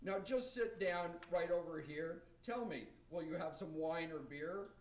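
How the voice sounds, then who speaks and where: A man's voice: fairly high pitch, measured pace, a little mellow, natural voice. A man playing a jolly, hospitable old Santa Claus with a hearty, rhyming delivery in amateur radio theater. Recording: distant, roomy live radio stage recording.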